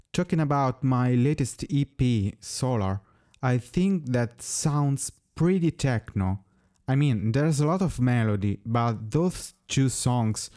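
A man from Italy, a native Italian speaker, talking slowly and carefully as an interview guest. His voice is smooth and resonant with a dark, mellow tone. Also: mid-range voice. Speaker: musician guest. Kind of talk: prepared interview answers.

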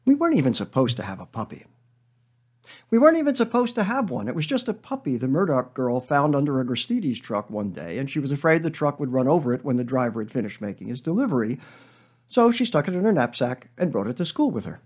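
A man reading a comic story monologue as a weary, defensive schoolteacher who rambles in a deadpan, hesitant way. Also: medium pitch, fast. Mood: rambling, defensive. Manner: deadpan, hesitant, self-justifying digressions.

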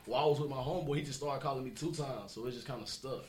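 A man, a guest rapper chatting casually in an interview, energetic and repeating himself, laughing often. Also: rapid-fire. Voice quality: deep voice.

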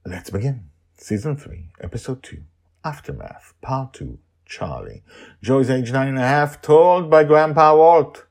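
A man's voice: medium pitch, animated, measured pace, creaky, darkish tone. A man hosting and narrating an audio story podcast in a theatrical, emphatic, dramatic style.

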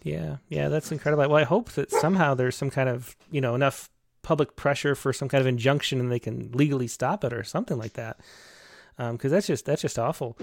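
A man's voice: medium pitch, a little fast, creaky. A man hosting an interview, reacting with sympathy and asking his guest questions. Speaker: interviewer host. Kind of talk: interview conversation.

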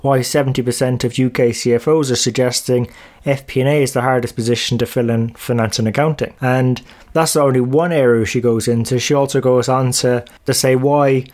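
Man hosting a podcast, mid-pitched, upbeat and conversational. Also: fairly even intonation, a little fast, a little mellow. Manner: enthusiastic, conversational. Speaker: podcast host. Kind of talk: podcast intro and interviewing.

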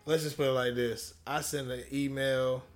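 A young man with a deep voice, a rapper talking casually as a guest in an interview, slangy and quick to laugh. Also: flat delivery, faint music behind. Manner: laid-back slangy talk, laughs often, swears freely.

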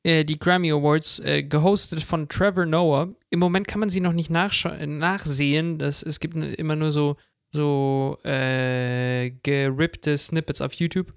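Male podcast co-host, fairly high voice, dry close sound, informal and enthusiastic, drops in English words. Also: fairly even intonation, a little slow, clean tone, no room sound. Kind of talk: casual chat.

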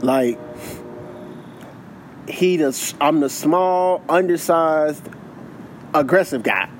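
A man's voice: fairly high pitch, slow pace, a little hiss or hum. A man co-hosting a podcast, chatting casually with loose slang and lots of repeating himself. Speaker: podcast co-host. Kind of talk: casual chat.

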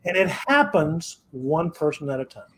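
A man's voice: on the high side, very animated, normal speed, a bit bright. A man in his sixties, a podcast guest, giving motivational advice in a preachy, repetitive way and often restarting his phrases. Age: 60s. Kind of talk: motivational interview talk.

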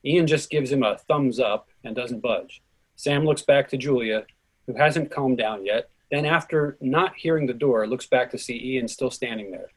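Man, mid-pitched, slightly rough husky tone, scripted play narration, stage directions. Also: moderate pace, low-quality recording.

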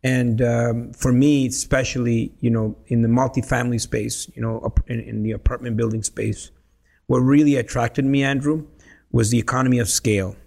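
Gravelly, husky real estate investor. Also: male voice, medium pitch, normal speed. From El Salvador. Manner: animated storyteller, frequent "you know" and "right?". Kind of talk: casual podcast conversation.

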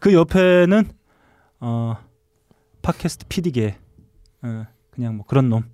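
A man's voice: medium pitch, expressive, unhurried, a little mellow, dry recording. A man hosting a music podcast, bantering with his co-hosts.

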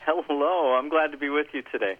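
A man's voice: fairly high pitch, a little fast, narrow, tinny audio. A man joining a podcast as a guest, giving brief, good-humored replies. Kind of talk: casual interview answers.

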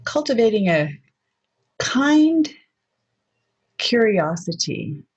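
Breathy, slow-spoken woman guiding meditation. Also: a little high, big pitch swings, lo-fi sound. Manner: soft, unhurried, gently inviting phrasing. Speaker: meditation guide. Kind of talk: guided meditation.

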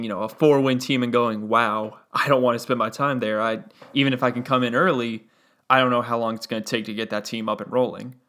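A young man hosting a solo sports podcast, talking through a game casually and analytically with a gravelly voice and frequent fillers. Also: medium pitch, a bit bright. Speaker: podcast host.

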